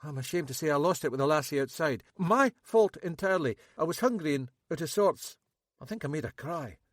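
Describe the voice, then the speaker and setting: A jittery young Scottish man, voiced by a male audiobook reader pitches high and pours out his words in a rush, loud and self-pitying. His voice swings wildly up and down. The sound is dry and close. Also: fairly quick, dry recording. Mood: nervous. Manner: excitable, rambling, self-pitying.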